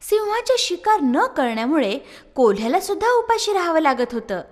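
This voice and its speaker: High expressive woman storyteller. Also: moderate pace. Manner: expressive, sing-song storytelling. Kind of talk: scripted children's story narration. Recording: long reverb.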